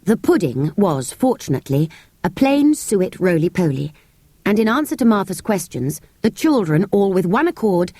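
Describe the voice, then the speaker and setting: A British woman narrates a children's storybook from a script. Her delivery is measured and expressive, with very animated pitch, recorded close and dry. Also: no echo. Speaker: audiobook narrator.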